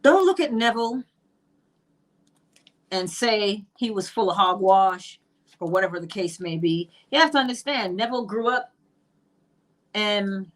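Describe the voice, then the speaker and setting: A woman's voice: medium pitch, animated, a little slow, long pauses. A middle-aged woman from Barbados with a Barbadian accent, teaching about spiritual law in an emphatic monologue full of rhetorical questions. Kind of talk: monologue on manifestation.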